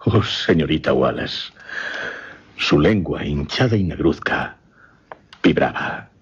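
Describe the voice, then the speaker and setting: An actor playing a shaken gentleman recounting a supernatural horror in a radio play, grave, formal and halting. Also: rumbling low, animated, slightly rough, full character voice. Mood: grave dread. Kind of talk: suspense radio theatre acting. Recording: dull, muffled radio recording.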